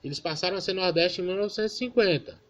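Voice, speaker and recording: A man's voice: high voice, fast talker, fairly bright tone. A man from Maranhão, giving an informal online geography class and correcting himself as he goes. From Maranhão, Brazil. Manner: informal, addresses class as "galera", self-correcting. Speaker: student teacher.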